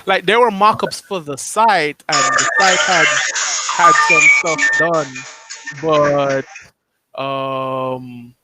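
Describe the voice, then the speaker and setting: A man's voice: fairly high pitch, pushed and bright, no room sound, poor audio. A man, a front-end developer, talking casually on a video call, hesitant, with lots of fillers and restarts.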